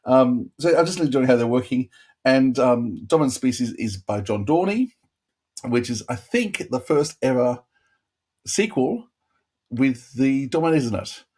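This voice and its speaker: Husky, rambling male podcast co-host. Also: mid-range voice, lively intonation, measured pace, darkish tone. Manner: rambling, self-interrupting. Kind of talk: casual chat, reviewing audio dramas.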